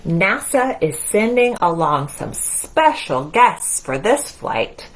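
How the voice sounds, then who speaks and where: A woman's voice: mid-range voice, big pitch swings, slow pace, a little hiss or hum, poor audio. A woman children's librarian leading a storytime, speaking to young children in an encouraging, singsong way. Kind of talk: children's storytime with movement and read-aloud.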